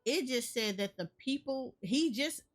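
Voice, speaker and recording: A woman's voice: sits high, animated, measured pace. A woman giving casual game reaction commentary, thinking aloud and often repeating herself. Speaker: gaming streamer. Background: game music.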